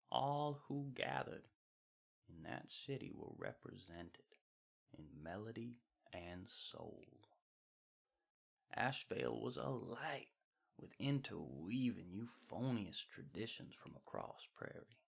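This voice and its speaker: A man's voice: fairly low pitch, creaky, a bit bright, breathy edge, heavily acted. A man playing a wandering bard who tells lyrical fantasy tales in florid, poetic phrasing for a radio drama. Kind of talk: scripted radio drama storytelling.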